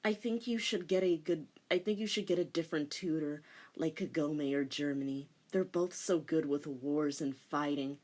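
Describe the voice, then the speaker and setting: A woman's voice: deep voice, normal speed, darkish tone. A woman voicing an intense, reserved and jealous boyfriend in a comic fan-fiction reading. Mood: quietly jealous. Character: intense, quietly jealous male live-in boyfriend.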